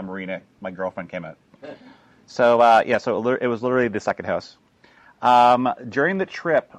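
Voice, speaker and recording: Rambling man, low voice. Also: dry recording. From Canada. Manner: rambling, with frequent restarts and fillers. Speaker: filmmaker presenting his documentary. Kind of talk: talk to an audience after a screening. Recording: dull lo-fi recording.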